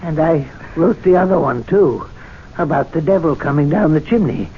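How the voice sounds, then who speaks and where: A man's voice: fairly high pitch, moderate pace, a little hiss or hum, natural voice. An actor playing a timid, deferential older man at a club, hesitant and stammering, in a 1970s radio drama. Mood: indignant. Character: timid meek older male club attendant. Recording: dull lo-fi old radio recording.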